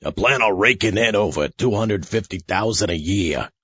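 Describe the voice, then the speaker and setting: A man playing a gruff, crooked working-class plumber in a comedy audio drama, blunt and unimpressed. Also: lowish voice, normal speed, fairly bright tone, no echo, lo-fi sound.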